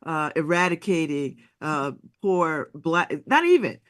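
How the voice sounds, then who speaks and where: A woman's voice: low-pitched, very animated, measured pace. A woman hosting a casual interview, talking conversationally and often repeating the first words of her sentences as she feels her way forward. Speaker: talk show host, interviewer.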